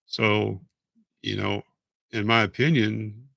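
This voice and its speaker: A man going live on a casual stream, hoarse and raspy, talking in a rambling, halting way with frequent restarts. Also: fairly low pitch, little pitch movement, pushed and bright, dry recording. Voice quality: raspy, voice fading. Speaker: patient vlogger going live.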